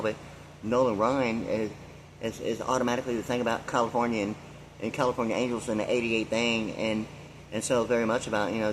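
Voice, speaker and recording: A man's voice: lowish voice, slight background noise, low-quality recording. A man in his sixties delivering a meandering, free-associating monologue full of fillers and loose asides. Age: 60s. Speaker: vlogger. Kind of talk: rambling monologue.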